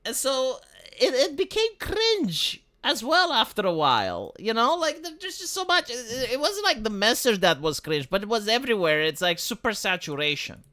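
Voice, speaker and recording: A man from Romania rambles through a casual livestream, swearing freely and often restarting his sentences. His voice is bright, and its pitch swings widely as he talks. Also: big pitch swings, moderate pace. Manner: rambling, profane, frequent restarts. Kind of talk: casual streaming chat.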